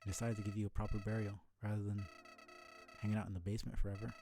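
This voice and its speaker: Man voicing a low, sinister, world-weary talking flower. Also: little pitch movement, rapid-fire, natural voice. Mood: probing. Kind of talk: video game dialogue read aloud. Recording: music behind the voice.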